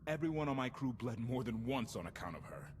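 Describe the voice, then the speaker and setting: An actor playing an earnest, wary rebel crew captain in a game, informal and emphatic. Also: male voice, medium pitch, animated, brisk, a little hiss or hum, natural voice. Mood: bitter, wary. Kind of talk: video game cutscene acting.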